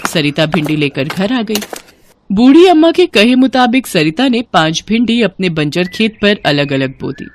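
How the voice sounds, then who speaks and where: A woman's voice: mid-range voice, sing-song, moderate pace. A woman narrating a scripted moral story as a storyteller.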